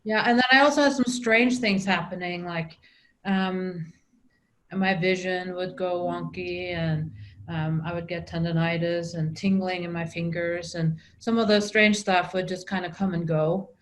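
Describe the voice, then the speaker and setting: A woman's voice: fairly low pitch, fairly even intonation, a little slow, fairly bright tone. A middle-aged woman from Norway with a Norwegian accent, telling her story as an interview guest, with frequent ums and restarts. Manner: hesitant, many ums and restarts.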